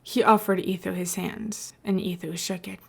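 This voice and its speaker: A woman's voice: mid-range voice, steady tone, moderate pace, creaky, breathy edge. A woman narrating a story as a podfic reader, in a scripted reading style.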